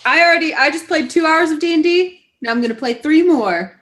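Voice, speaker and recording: Upbeat woman gamer, high and bright, raring to go. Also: brisk. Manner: eager and upbeat, talks with her hands. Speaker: tabletop game player. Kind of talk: casual chat on a live stream.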